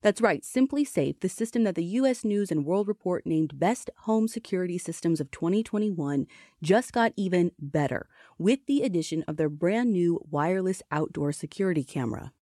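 A woman with a smooth voice reading a scripted podcast advertisement as narration. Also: fairly low pitch, expressive, moderate pace, dark tone, dry recording, studio quality. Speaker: podcast ad reader.

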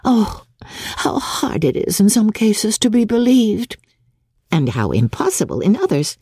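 Woman playing spirited, teasing young gentlewoman. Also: mid-range voice, very animated, a little slow, husky, no room sound, pitched above the performer's own voice, exaggerated voice. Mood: playful teasing.

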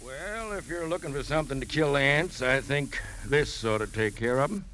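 An actor playing a chatty, folksy store clerk in a 1950s radio drama, colloquial and helpful. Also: male voice, medium pitch, animated, normal speed, a bit bright, close to an everyday voice. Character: chatty, folksy garden-supply shop clerk. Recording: faintly noisy 1950s radio recording.

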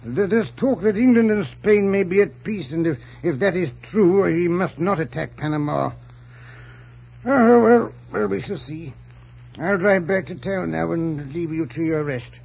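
A fussy older gentleman suitor, his voice high and gravelly. He stammers and dithers in 1940s radio drama fashion, on a dull old recording. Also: lively intonation, unhurried, full character voice. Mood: hesitant, fond. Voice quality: creaky, husky. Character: dull, stuffy, hesitant older suitor and official.

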